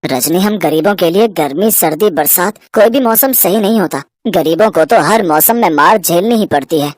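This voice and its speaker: A woman voicing a poor gardener father in a cartoon, talking in a lowered, tired voice. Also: normal speed, dry recording, clean studio sound, close to an everyday voice. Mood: weary. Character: poor village gardener Roshan, weary father.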